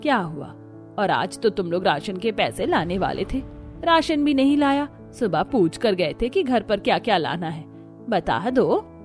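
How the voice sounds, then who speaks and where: A woman's voice: mid-range voice, big pitch swings, measured pace, faint music behind. A woman voicing an older, concerned mother-in-law in a cartoon family story, emphatic and motherly.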